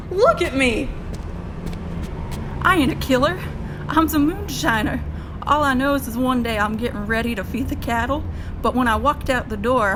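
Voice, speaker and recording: A woman's voice: high voice, background noise, lightly acted. A woman playing a naive, otherworldly moonshiner in an audio drama, with a pleading manner. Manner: folksy rustic speech, pleading.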